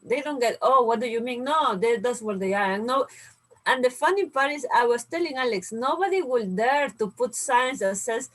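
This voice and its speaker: A woman's voice: on the high side, moderate pace. Woman from Serbia and Peru. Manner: storytelling, animated, laughs often. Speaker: co-host, inventor. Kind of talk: casual conversation.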